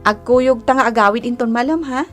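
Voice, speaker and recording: A woman's voice: a little high, fairly even intonation, brisk, faint music behind, lightly acted. An actress with an Ilocano Filipino accent playing a sweet, coy young woman in a radio drama. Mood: coy, pleading.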